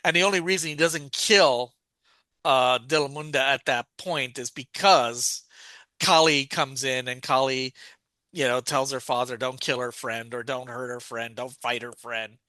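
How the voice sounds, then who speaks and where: Bright-voiced man recapping a plot. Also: medium pitch, steady tone, unhurried, no room sound. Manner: rambling, many restarts and fillers. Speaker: podcast co-host.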